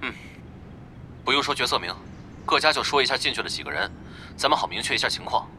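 A man's voice: mid-range voice, expressive, quick pace, bright, forceful tone, a little hiss or hum. A man playing a blunt, commanding coordinator of undercover guild spies in an audio drama, businesslike in manner.

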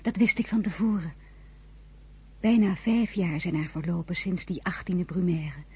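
Young mother, very husky, mellow, soft, tender yet anxious, intimate radio play. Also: lowish voice, fairly even intonation, normal speed, highs cut off. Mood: grave, reflective. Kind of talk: naturalistic radio drama acting and diary narration.

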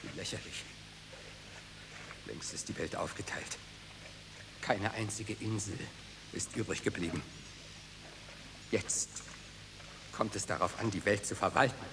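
A man's voice: fairly low pitch, unhurried, vocal fry, long pauses. An actor playing a stern, authoritarian father in a German radio drama, commanding and emphatic. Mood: scornful, insistent. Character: stern authoritarian father demanding obedience and study. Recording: long hall reverb, light noise.

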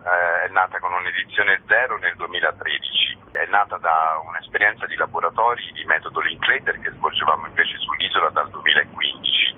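A man with an extremely deep, husky voice talks as an interview guest. He explains at length in a conversational way, hesitating and repeating words. The line is narrow and tinny, like a telephone. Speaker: theatre festival director, interview guest.

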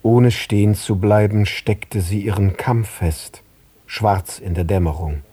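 An actor narrating a literary radio play as a sober, measured observer. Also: male voice, lowish voice, fairly even intonation, dark tone, slightly rough, dry recording. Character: male narrator, sober observer recalling scenes in detail.

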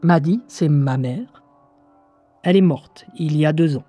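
A young woman confides her story as if leafing through an old diary. Her tone is mellow and her pace leisurely, with dry, conversational asides about the voices in her head. Faint music sits behind this radio drama monologue. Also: low-pitched, unhurried. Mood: confiding, ironic. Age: young adult.

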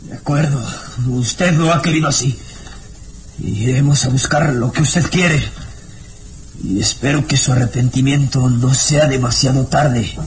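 A man's voice: medium pitch, unhurried, a little hiss or hum, lightly acted, rough recording. An actor playing a gaunt, drunken, frightened man who snaps bitterly, in an old radio drama. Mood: angry, menacing. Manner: jittery, snapping, bitter. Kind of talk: 1960s radio drama acting.

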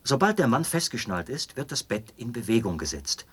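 An actor playing a zealous young penal colony officer and judge in a German radio drama, explaining in precise, formal standard German with a husky voice. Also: male voice, mid-range voice, very animated, fairly quick. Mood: matter-of-fact, instructive.

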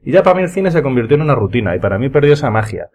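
A man's voice: medium pitch, sing-song, rapid-fire, darkish tone. A man with a Madrid Spanish accent chatting casually with friends as a podcast panelist, emphatic and often repeating words for emphasis. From Spain. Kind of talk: casual chat among friends.

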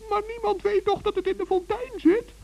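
A man playing a small, kind-hearted forest gnome in a children's radio play, hesitant and innocent in a creaky, squeaky voice. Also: steady tone, fairly quick, darkish tone. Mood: evasive, sheepish. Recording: dull vintage radio recording.

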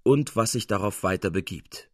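A man's voice: on the low side, moderate pace. A man reading a literary story aloud as a scripted radio narration. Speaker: narrator, reader.

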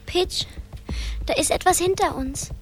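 A woman's voice: very high voice, measured pace, soft background music. A woman playing a small, frightened but plucky girl in a radio play, anxious and plaintive.

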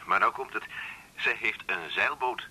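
A man's voice: lowish voice, a little slow, bright, forceful tone, breathy edge, exaggerated voice, poor audio. An actor playing a cheerful, teasing middle-aged male colleague in a radio drama, breezy and slightly formal. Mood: proudly cheerful. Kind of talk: naturalistic 1980s radio drama acting.

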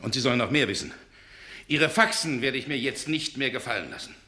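A domineering, short-tempered gentleman from a radio play. His voice is tense and bright, swinging widely in pitch as he scolds and commands with open contempt. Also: lively intonation, a little fast. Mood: bossy, impatient. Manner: forceful, impatient, contemptuous, commanding. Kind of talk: German radio play acting.

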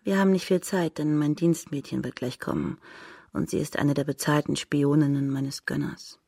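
A young courtesan in a radio drama, proud and scornful. Her voice is husky and creaky, recorded close and dry. She speaks frankly, with a cynical, dismissive edge. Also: sits low, fairly even intonation, moderate pace, warm tone, no echo. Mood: businesslike, provocative.

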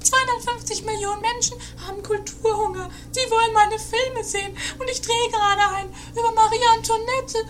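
A woman's voice: very high-pitched, steady tone, fairly quick, hardly any pauses. A woman playing a vain, self-important film diva in an amateur comedy radio play, melodramatic and fearful for herself. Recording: faintly noisy 1980s amateur radio recording.

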